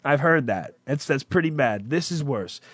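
A man's voice: medium pitch, lively intonation, moderate pace, husky, no room sound. A man co-hosting a casual talk show, teasing and crude in his banter. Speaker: podcast co-host.

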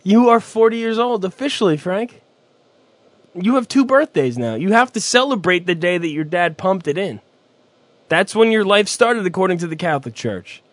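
A male radio cohost joking crudely, with loud profane outbursts and laughter. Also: sits high, expressive, moderate pace, dry recording. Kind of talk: crude, excitable banter.

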